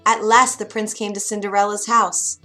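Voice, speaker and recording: A woman storyteller with a bright, forward voice reads a children's fairytale. She is expressive and dramatic, at a medium pitch, with soft music playing behind her. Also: moderate pace. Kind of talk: children's bedtime story narration.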